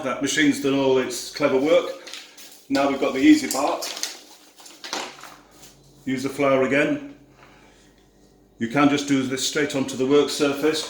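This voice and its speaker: A man with a Yorkshire accent talks through a recipe at an easy pace in his home kitchen, self-deprecating and unhurried. His voice rings in a roomy, echoing space. Pots, cutlery and dishes clink clearly behind him. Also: mid-range voice, a little hiss or hum. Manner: easygoing, self-deprecating, talks while working. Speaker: cooking video host. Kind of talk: relaxed cooking demonstration. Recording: echoey kitchen with clattering dishes.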